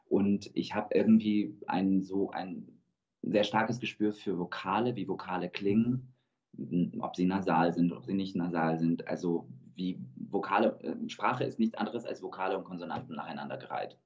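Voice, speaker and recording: A man in his thirties, born in Georgia with Russian as his first language, speaking as a guest singer in a thoughtful, measured way over a video call. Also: low voice, a little mellow. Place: indoor room with plain wall. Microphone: video call webcam. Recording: dull lo-fi video call audio.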